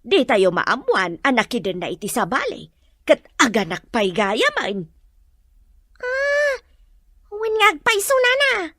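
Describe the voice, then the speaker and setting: Animated, high-voiced gossipy village woman played by an actress. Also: a little slow, dry recording, clean studio sound, exaggerated voice. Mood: scandalized. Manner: exclaiming, questioning, gossipy. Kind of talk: Filipino Ilocano audio drama acting.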